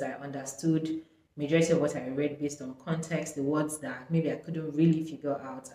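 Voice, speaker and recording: Chatty young woman, roomy echo. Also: low-pitched. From Nigeria. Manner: chatty, sprinkled with "like" and "um". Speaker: vlogger. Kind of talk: casual talk to camera. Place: small bright room indoors. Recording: echoey room.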